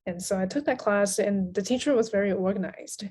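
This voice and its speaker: A woman's voice: mid-range voice, brisk, a little mellow, slight background noise. A woman from Taiwan speaking as a guest in a casual interview, explaining things in a conversational way. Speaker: guest, literary translator.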